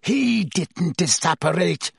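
A man voicing a bitter, furious male teacher who roars slow, venomous accusations. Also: sits high, animated, vocal fry, slightly rough, no room sound, clean studio sound, voice pushed up, full character voice.

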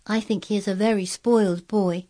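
A woman playing a self-possessed young girl, soft-toned. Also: medium pitch, steady tone, moderate pace, lightly acted. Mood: earnest, curious. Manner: firm, plain-spoken, earnest.

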